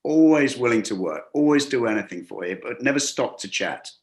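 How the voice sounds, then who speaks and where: A man guesting on an interview show, talking about leadership in a loose, rambling way. He keeps restarting his sentences and slips into quoted dialogue as he tells his stories, at a mid-range pitch for a man. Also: lively intonation, fairly quick. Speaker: guest, hospitality business coach. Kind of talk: conversational interview storytelling. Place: lives and works in a Gulf city.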